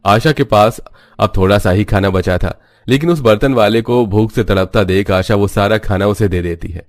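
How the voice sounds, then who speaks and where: A man's voice: on the low side, no echo. A man narrating a scripted cartoon story as the storyteller.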